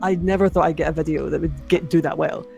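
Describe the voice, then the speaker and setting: A young woman with a mid-range Scottish accent, chatting casually as a podcast guest, quick to laugh and restart her sentences. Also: low-pitched, steady tone, creaky, dark tone, soft background music. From Scotland. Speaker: podcast guest, anime video creator. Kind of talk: casual chat.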